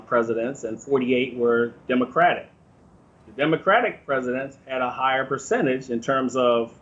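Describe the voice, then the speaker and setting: A man's voice: medium pitch, fairly bright tone, lo-fi sound. An American man speaking informally as an interview guest, explaining his opinions in a conversational way.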